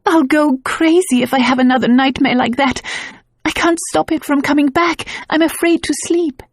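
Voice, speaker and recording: A woman's voice: high-pitched, fairly even intonation, normal speed, no room sound, studio quality, pitched above the performer's own voice, close to an everyday voice. A woman voicing a frightened young woman just woken from a nightmare, breathless and pleading through tears. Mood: tearful.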